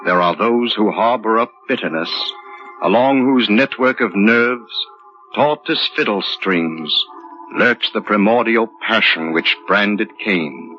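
A man's voice: lowish voice, animated. A man playing a solemn, mysterious narrator of eerie tales in grave, theatrical 1940s radio style. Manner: grave, slow, theatrical, poetic. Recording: muffled 1940s radio broadcast. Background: loud dramatic music.

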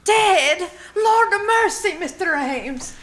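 A woman's voice: extremely high pitch, expressive, slow, a bit bright, heavily acted. A woman playing a superstitious, deferential maid with a folksy, hesitant manner in a live old-time radio play. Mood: superstitious, uneasy. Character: superstitious, deferential maid, humouring her employer. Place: live performance at a winery.

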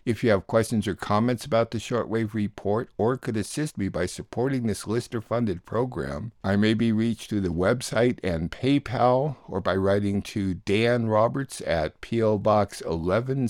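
A man hosting a weekly radio show, reading introductions and appeals to listeners in a steady, measured way. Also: lowish voice, no echo, studio quality. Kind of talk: plainly read radio introductions.

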